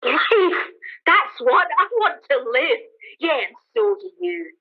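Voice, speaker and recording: A woman's voice: very high voice, lively intonation, takes it slowly, heavily acted. Woman playing a defiant soldier survivor, thin phone-like sound. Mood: fierce, defiant. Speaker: amateur actor in a radio play. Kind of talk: dramatised radio play dialogue.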